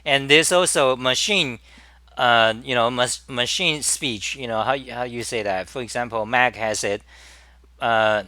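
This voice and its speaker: A man with a Chinese accent hosting a live-stream talk, speaking in halting bursts with many restarts and repeated words. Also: medium pitch, bright, forceful tone, no echo. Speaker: host.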